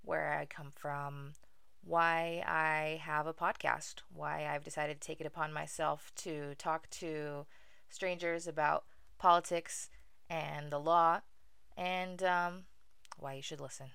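A woman's voice: deep voice, little pitch movement, slow, creaky, a bit bright, clean tone, no room sound, clean studio sound. A young adult woman from Venezuela hosting her own podcast, talking casually in a wry, self-deprecating way with frequent ums. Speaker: podcast host. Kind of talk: casual solo podcast monologue.

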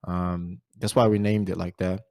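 A man in his twenties from Portugal, speaking English with a Portuguese accent, hosting a relaxed podcast chat full of fillers. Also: low-pitched, normal speed, no echo, clean studio sound. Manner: relaxed, rambling, many fillers. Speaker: podcast host. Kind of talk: casual chat.

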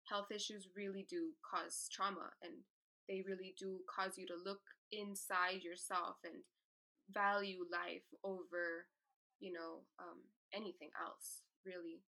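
A young woman speaking candidly and at length as a podcast guest, with many pauses and fillers. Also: on the low side, level tone, pushed and bright. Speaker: artist guest. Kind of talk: podcast interview.

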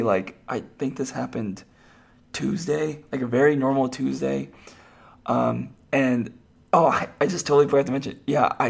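Hoarse raspy-voiced man hosting a podcast. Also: medium pitch. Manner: rambling, with many fillers and restarts. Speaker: podcast host. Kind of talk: casual solo podcast talk.

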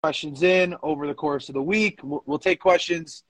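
A man with a slightly high voice, recorded fairly dry, chats as a co-host on a sports podcast. He talks haltingly, repeating phrases while he works out his point, and drops the odd swear word. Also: no echo. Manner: casual swearing. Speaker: competitive powerlifter. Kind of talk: casual sports podcast chat.

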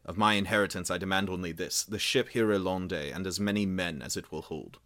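A man voicing a proud, bitter young prince who speaks forcefully and resentfully. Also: low-pitched, brisk. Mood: defiant.

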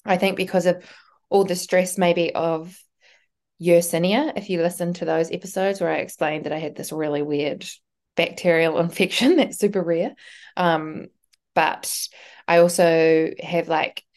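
Low-voiced Australian woman rambling casually. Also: steady tone, measured pace, a little mellow. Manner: rambling, lots of "um" and "like". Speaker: podcast co-host. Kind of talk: casual podcast chat.

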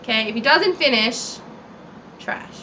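A woman's voice: on the high side, animated, measured pace, pushed and bright, a little hiss or hum. A woman co-hosting a podcast in casual chat, blunt and laughing, swearing freely. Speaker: podcast co-host.

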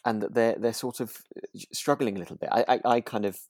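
A man's voice: on the low side, steady tone, fast, darkish tone, dry recording, studio quality. A man co-hosting a podcast, chatting casually and a little hesitantly as he searches for words. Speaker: podcast co-host. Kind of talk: relaxed casual chat about a radio drama.

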